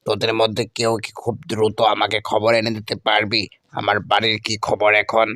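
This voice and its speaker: A man's voice: fairly low pitch, monotone, fairly quick, sharp tone, dry recording, studio quality. A man playing a commanding wandering holy man in a Bangla cartoon, with imperious pronouncements.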